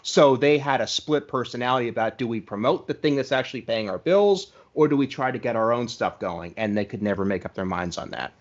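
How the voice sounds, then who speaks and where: Male interviewer, average pitch, casual and thoughtful delivery, somewhat dulled recording. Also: fairly quick, hardly any pauses. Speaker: podcast host. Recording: dull, lo-fi voice recording.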